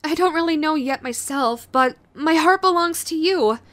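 Woman acting a high-pitched, clingy yandere girlfriend. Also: a bit bright, dry recording. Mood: hesitant, earnest. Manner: hesitant, pleading, halting. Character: sweet, clingy, remorseful yandere girlfriend in recovery. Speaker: voice actor. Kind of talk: audio roleplay, intimate addressed to listener.

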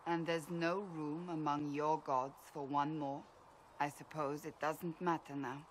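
A woman playing a stern, devout and blunt fantasy warrior in a video game, speaking gravely and deliberately. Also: low voice, little pitch movement, a little hiss or hum. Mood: solemn. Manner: grave, forceful, deliberate phrasing. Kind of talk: video game cutscene acting.

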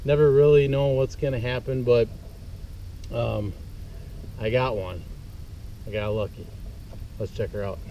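A man's voice: mid-range voice, a little slow, long pauses. A young man talking casually to viewers from a small fishing boat on a lake, recorded by a boat-mounted camera a few feet away. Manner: relaxed, chatty, addresses viewers as guys. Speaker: fishing vlogger. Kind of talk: casual outdoor vlog talk. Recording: dull, slightly distant boat-camera audio. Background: faint outdoor boat and water noise.

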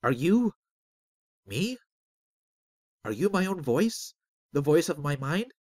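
A man voicing a defiant, impatient young orphan student of magic in a fantasy story, speaking loudly and demandingly. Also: a little high, very animated, slow pace, voice pushed up, heavily acted. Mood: impatient, questioning. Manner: loud, demanding, exclamatory. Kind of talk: fantasy audiobook character.

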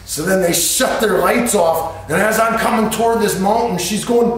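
A man's voice: high voice, normal speed. A middle-aged American man with a Midwestern accent, telling anecdotes casually in a small workshop. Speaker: vlogger telling stories. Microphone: camera a few feet away. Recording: echoey room, distant camera microphone.

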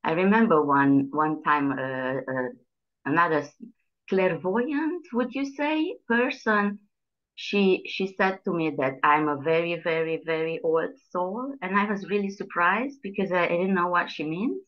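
A woman's voice: fairly low pitch, measured pace. A middle-aged woman from Transylvania with a Hungarian accent, a guest on a video call interview, speaking hesitantly and searching for words. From Transylvania, Romania. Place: bright room indoors. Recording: dull lo-fi call audio.

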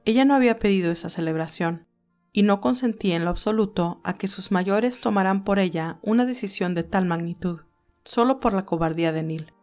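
Female storyteller for scripted romance narration, mid-pitched, faint music underneath. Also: a little fast, a little mellow.

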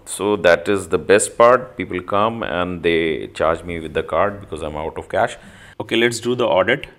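A man with a fairly low-pitched voice narrates an app review step by step. His delivery is informal and halting, with many filled pauses as he works through the screens. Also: a bit bright.

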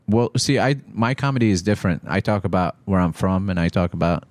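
A man in his thirties who grew up in Iraq, a stand-up comedian guest talking in a halting, blunt, profane way at a studio desk mic. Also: low-pitched, dry recording. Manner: halting, many restarts and fillers, blunt and profane. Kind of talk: casual podcast chat. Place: small studio with textured walls. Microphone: desk mic on stand, headphones.

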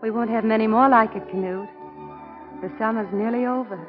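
A woman's voice: a little high, fairly even intonation, fairly quick, music in the background, lightly acted. An actress playing a devoted, wistful young woman in love in a 1940s radio drama. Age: young adult. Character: tender, devoted young sweetheart. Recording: dull 1940 radio recording.

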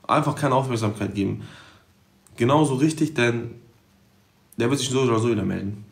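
Gravelly young man livestreaming. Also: mid-range voice, a little fast. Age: 20s. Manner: loud, blunt, slangy. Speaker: livestream host. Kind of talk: casual livestream chat. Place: living room indoors. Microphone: phone at arm's length. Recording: roomy room echo.

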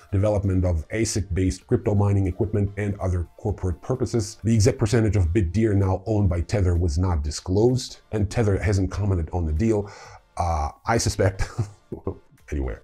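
A middle-aged man with a low, mellow voice that has a husky grain. He presents a news rundown in a semi-scripted way, dropping in casual, wry comments as he goes. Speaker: host of a crypto news show. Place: indoor room with wooden staircase.

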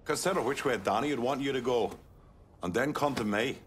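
A man's voice: mid-range voice, a little fast, slight background noise, close to an everyday voice. A man playing a brash, foul-mouthed middle-aged mobster in a video game, pushy and belligerent. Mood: insistent. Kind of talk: cinematic video game acting.

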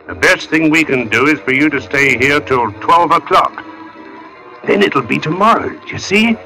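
A companionable working man in vintage radio style. His voice is fairly high and very sharp, inviting in a plain way, with faint music behind. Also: animated, moderate pace, rough recording. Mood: casual, coaxing. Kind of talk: 1940s radio acting.

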